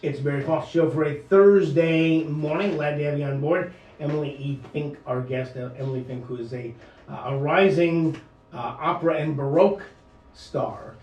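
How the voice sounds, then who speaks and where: A man hosting a talk radio interview, halting and full of fillers and restarts as he asks questions. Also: on the high side, a little mellow, roomy sound, distant mic, low-quality recording. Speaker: radio talk show host.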